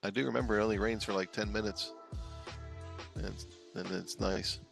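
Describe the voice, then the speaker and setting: A man with a low, gravelly voice talks fast, rambling enthusiastically in a casual chat. He comes through an online call with faint music behind him. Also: fairly even intonation, fairly bright tone. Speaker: community member on a live stream.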